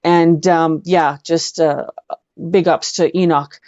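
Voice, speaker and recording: Female rock-singer guest, low-pitched, effusive and emphatic storyteller, captured in a roomy space with dull audio. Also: steady tone, slow pace, darkish tone. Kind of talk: casual anecdote storytelling in an interview.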